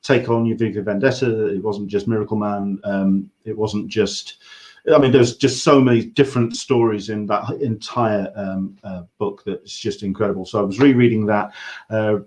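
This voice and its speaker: An English man hosting a casual livestream interview, talking in a rambling, halting way and often interrupting himself. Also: on the low side, steady tone, a little mellow. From England. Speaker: interview show host.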